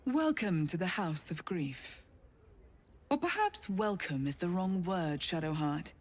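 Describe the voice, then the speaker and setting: An actress playing a cold, disdainful cultist woman in a fantasy game, with a haughty, deliberate, sneering delivery. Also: fairly low pitch, lively intonation, a little hiss or hum. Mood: haughty, scornful. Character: cold disdainful female cultist, menacingly formal.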